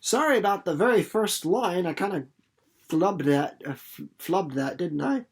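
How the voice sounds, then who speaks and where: A man giving casual commentary on a book as a teacher, informal and a little halting as he thinks aloud. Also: high voice, lively intonation, husky. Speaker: reader and teacher. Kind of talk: storybook narration and casual literary commentary for students.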